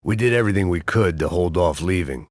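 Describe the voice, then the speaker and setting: A worn-out middle-aged ex-cop narrates a video game in a deadpan, bitter drawl. His voice sits very deep, and the studio sound is close and dry with no room around it. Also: a little fast, no echo. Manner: deadpan, bitter, self-mocking.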